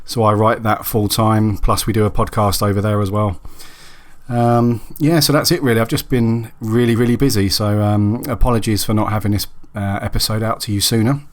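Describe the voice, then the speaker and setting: A low-voiced man talks through his solo podcast, and a cold leaves him raspy and husky. He chats casually and loosely, full of ums and restarts. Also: fairly even intonation, normal speed. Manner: rambling, with many ums and restarts. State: hoarse from a cold. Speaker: podcast host.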